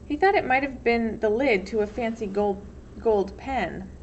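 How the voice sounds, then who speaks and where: Higher-voiced woman reading a story aloud. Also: a little slow. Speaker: teacher reading a book aloud. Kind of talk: storybook read-aloud narration. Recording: dull lo-fi sound, faint noise.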